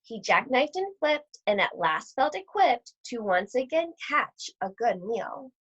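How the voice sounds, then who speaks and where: A woman reading a children's picture book aloud to schoolchildren in an animated, theatrical storytelling manner. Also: on the high side, unhurried, slightly rough. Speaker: read-aloud host at an elementary school. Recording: dull, lo-fi sound.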